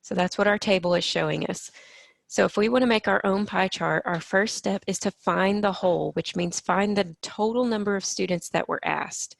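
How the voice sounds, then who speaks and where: A woman teaching a middle-school math lesson, explaining each step patiently and sounding a little hoarse from allergies. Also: medium pitch, moderate pace, no echo.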